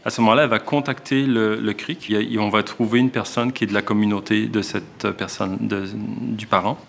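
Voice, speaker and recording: Low-voiced Québécois male teacher. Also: fairly even intonation, brisk, creaky, a bit bright, a little hiss or hum. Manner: reflective, explains with frequent restarts. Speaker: elementary school teacher. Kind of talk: documentary interview.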